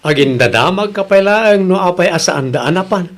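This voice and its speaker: An actor playing a blunt, grumbling middle-aged husband and uncle in an Ilocano radio comedy-drama, with a Filipino accent. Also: male voice, high-pitched, animated, a little fast. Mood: scolding.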